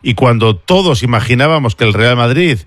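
A male sports radio host runs through results and listener messages in a brisk, conversational way. His mid-range voice sits close on a dry studio mic. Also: medium pitch, animated, no room sound. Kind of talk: radio sports news presenting.

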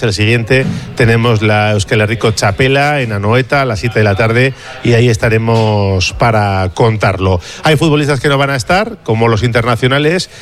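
A man presenting football news on the radio in a steady, informative way with light asides. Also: medium pitch. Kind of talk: scripted sports news presenting.

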